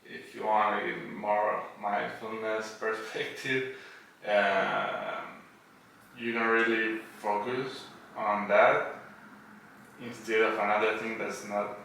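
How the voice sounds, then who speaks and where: A man's voice: on the low side, level tone, slow pace, creaky, sharp tone. A man from Buenos Aires with an Argentine accent chats casually in an interview, phrasing hesitantly in English as a native Spanish speaker. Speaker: podcast guest, tattoo artist. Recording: roomy echo, distant mic, faint noise.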